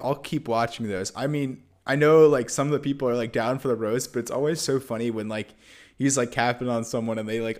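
A young man co-hosting a podcast in relaxed, rambling casual chat with halting restarts. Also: mid-range voice. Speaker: podcast co-host.

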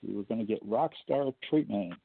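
A man with a low baritone voice, a guest on a call-in show, telling a story casually and joking along the way, with many fillers. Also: fairly quick, vocal fry, no room sound. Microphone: phone. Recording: thin phone line.

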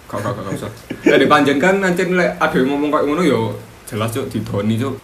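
A man's voice: medium pitch, lively intonation, fast, fairly bright tone. A young man with a Malang Javanese accent, hosting a casual student podcast in an easygoing, self-deprecating way. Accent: East Javanese, Malang. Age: young adult. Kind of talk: casual chat. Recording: echoey room, faint noise.

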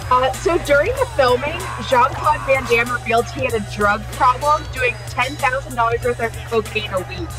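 A woman's voice: high-pitched, normal speed, hardly any pauses, music in the background. A woman co-hosting a podcast, chatting casually and sharing trivia while watching a film. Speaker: podcast co-host.

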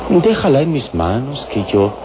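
A man's voice: mid-range voice, big pitch swings, noisy background, close to an everyday voice. A man playing a solemn, mysterious adventurer hero who speaks grandly in an old-fashioned radio drama. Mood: solemn, persuasive. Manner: solemn, grandiloquent, deliberate warnings. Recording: dull old radio serial recording.

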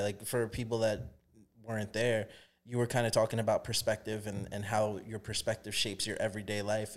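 A relaxed man co-hosting a podcast, with a fairly low voice. He talks thoughtfully in an easygoing style. He laughs easily during the chat. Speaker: podcast co-host.

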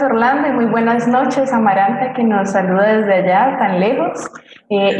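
A woman's voice: fairly high pitch, darkish tone, a little hiss or hum. Woman announcer in an echoey room. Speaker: co-host reading audience greetings. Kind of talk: live broadcast announcing. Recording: roomy, echoing sound.

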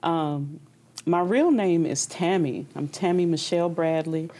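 A young woman from Kentucky, an opera singer being interviewed, talking in a relaxed, reflective way with easy laughs. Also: low-pitched, a little slow. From Kentucky, United States. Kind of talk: conversational interview.

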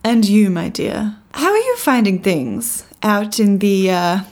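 An actress with a British accent playing a brisk, politely condescending woman spy in an audio drama, in a formal, genteel manner. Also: mid-range voice, big pitch swings, a little mellow. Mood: prim politeness.

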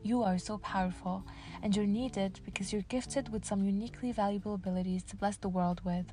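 A woman's voice: medium pitch, fairly even intonation, brisk, mellow, faint music behind. Earnest young woman podcaster. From Casablanca, Morocco. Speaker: podcast host. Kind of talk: scripted motivational podcast narration.

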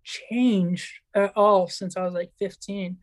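Twenties male podcast guest from Mexico, raspy and soft-spoken, easygoing talk with lots of fillers. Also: high-pitched, fairly even intonation, takes it slowly. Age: 20s. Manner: easygoing, agreeable, filler-heavy. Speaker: guest author. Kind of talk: casual chat.

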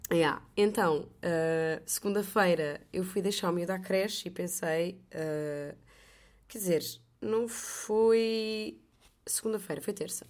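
A woman with a European Portuguese accent hosting a podcast, telling stories in a chatty, animated way. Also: fairly low pitch, slow. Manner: chatty, animated storytelling with laughs. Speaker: podcast host; voice-over artist. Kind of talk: casual chat.

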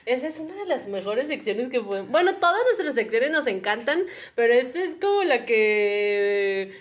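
A woman with a Mexican accent and a slightly hoarse voice, chatting casually and jokingly as a podcast co-host. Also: on the high side, very animated, moderate pace.

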